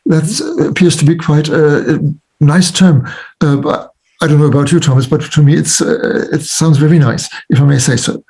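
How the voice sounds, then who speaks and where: Male moderator, husky, slightly high, genial and joking, video-call sound. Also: fairly even intonation, moderate pace, warm tone. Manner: affable, jokey, many back-channel murmurs. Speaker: panel moderator. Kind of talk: online panel discussion.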